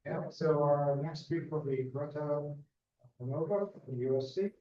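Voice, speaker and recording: A man's voice: fairly high pitch, moderate pace, dark tone. A man chairing a conference session, briefly and informally introducing the next speaker. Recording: echoey room, distant lo-fi mic.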